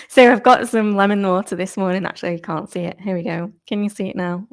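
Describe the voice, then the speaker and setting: A woman, a fitness instructor, chatting upbeat and slightly croaky on a live stream, laughing often. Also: mid-range voice, steady tone, a little fast, no room sound, studio quality. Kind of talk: live-stream chat with viewers. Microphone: new clip-on microphone.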